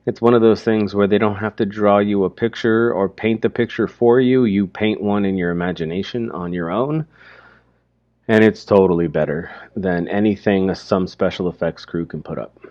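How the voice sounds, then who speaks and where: A man's voice: fairly low pitch, steady tone, normal speed, darkish tone, no room sound. A man commenting informally on a short story, thinking aloud and asking rhetorical questions. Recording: dry, slightly dull lo-fi recording.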